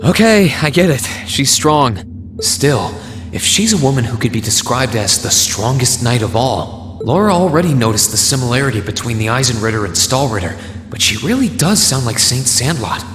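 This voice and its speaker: A man playing an earnest, kind young hero in a video game, speaking in a gentle, thoughtful and sincere way. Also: medium pitch, sing-song, moderate pace, music in the background, natural voice. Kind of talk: dramatic video game voice acting.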